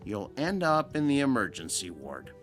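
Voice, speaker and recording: An actor playing a stern, concerned doctor in a radio drama, speaking firmly and emphatically. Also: male voice, mid-range voice, huge pitch swings, normal speed, soft background music. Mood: firm, admonishing. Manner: firm, emphatic, admonishing. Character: stern, concerned male doctor lecturing a patient.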